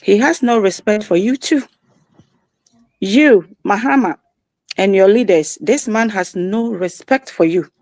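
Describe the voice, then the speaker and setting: Slow, aggrieved Ghanaian woman. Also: mid-range voice, a little mellow, dry recording. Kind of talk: casual discussion.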